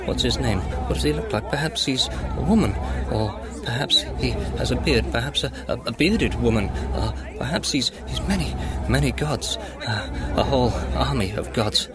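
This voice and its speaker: A man's voice: expressive, normal speed, hardly any pauses, crowd noise, lightly acted. Frantic paranoid man, wildly swooping voice acted. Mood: insistent questioning. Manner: rambling, repetitive, questioning, swinging into shrill shouts. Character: frantic paranoid man ranting about God and love. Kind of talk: audio drama monologue.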